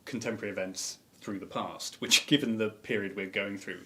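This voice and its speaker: A man's voice: fairly low pitch, fairly even intonation, a little fast, pushed and bright. A man, a writer, talking casually about his work in a promotional interview. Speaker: writer of an audio drama series.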